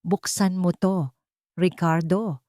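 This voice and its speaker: A woman's voice: on the low side, steady tone, slow pace, dry recording. Narrator as frightened woman, desperate wife, pleading cries, audio story drama, dry recording. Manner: pleading, shouting.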